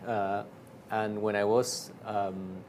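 Argentine priest guest, lower voice, halting with lots of "uh" and restarts, faint background hum. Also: male voice, level tone, a little mellow. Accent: Argentine Spanish. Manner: halting, many fillers and restarts. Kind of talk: casual interview storytelling.

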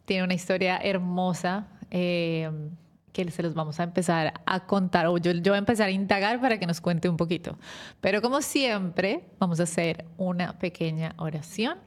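A woman hosts a faith-based talk show in a gentle, devout, rambling way. Her voice sits a bit low, and she moves easily between chatting and praying. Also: moderate pace. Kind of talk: warm faith-based interview with prayer.

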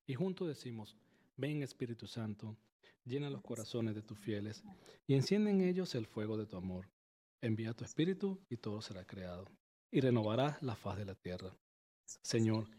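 Man hosting, dark mellow voice. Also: medium pitch. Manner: earnest, leads prayers in a steady recitation. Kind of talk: broadcast interview with opening prayers.

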